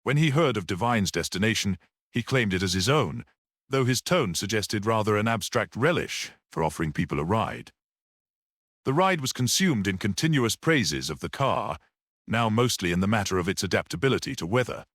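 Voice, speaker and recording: A man's voice: lowish voice, big pitch swings, moderate pace, fairly bright tone, dry recording, clean studio sound. A man reading a classic detective story as scripted audiobook narration. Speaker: audiobook reader.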